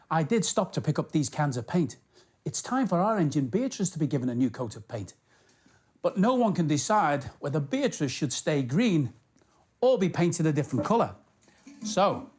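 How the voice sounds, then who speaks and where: A man presenting and reading stories for young children, speaking directly to the listener in an animated way. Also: a little high. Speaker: children's storytime host, station worker character. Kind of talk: children's storytelling, scripted presenting to young viewers. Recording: music behind the voice. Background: background music.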